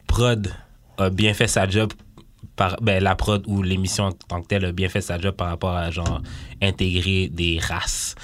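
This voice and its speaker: A man's voice: low-pitched, little pitch movement, measured pace, fairly bright tone. A man with a Quebec French accent chatting casually as an interviewer, slangy and teasing, mixing English into his French. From Quebec, Canada. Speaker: interviewer, co-host. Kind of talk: casual chat.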